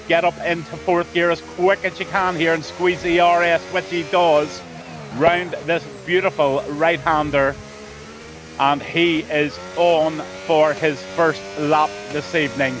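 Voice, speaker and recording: A man's voice: a little high, little pitch movement, a little slow, background noise. A man with a Scouse accent commentating a sim race on a live stream, greeting viewers by name and letting his voice trail off at the ends of lines.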